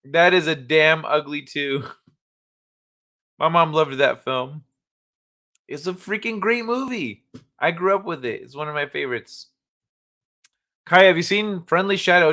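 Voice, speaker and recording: A man's voice: a little high, bright, forceful tone. Rambling, joking stream host guy. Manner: rambling, joking, profane, laughs often. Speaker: livestream host. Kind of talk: casual live-chat talk.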